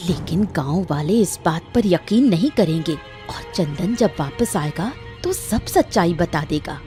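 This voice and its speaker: A woman's voice: fairly low pitch, lively intonation, a little slow, warm tone, faint music behind. A woman narrating a scripted moral tale for a cartoon, as a storyteller.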